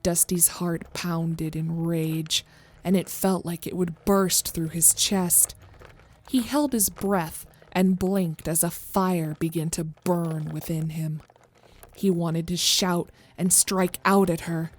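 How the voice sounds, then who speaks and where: A woman's voice: lowish voice, steady tone, slow pace. A woman reading scripted narration of a horror story as the narrator.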